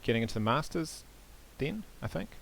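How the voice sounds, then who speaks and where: A man's voice: mid-range voice, animated, a little fast, creaky, fairly bright tone, poor audio. A man hosting a casual podcast interview, easygoing and chatty, with plenty of fillers. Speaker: podcast host.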